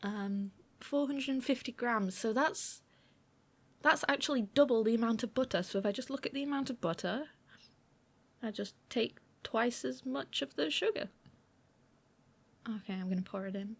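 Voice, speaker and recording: High-voiced, playful young woman roommate, acted by a woman. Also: normal speed, pauses often. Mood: amused, improvising. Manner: chatty, self-deprecating, hesitant, often giggly, murmuring to herself. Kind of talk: girlfriend ASMR audio roleplay.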